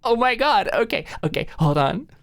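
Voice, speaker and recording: A woman's voice: mid-range voice, sing-song, normal speed, dry recording, voice pushed up. A woman voicing a bubbly, tipsy, teasing young woman in audiobook dialogue, with a giggly, playful manner. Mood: giddy, mock surprised.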